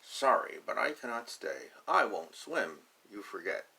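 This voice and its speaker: A man voicing a stubborn, grumpy little duckling in a picture book, with drawn-out quacking protests. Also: mid-range voice, very animated, gravelly, pushed and bright, husky, full character voice, rough recording.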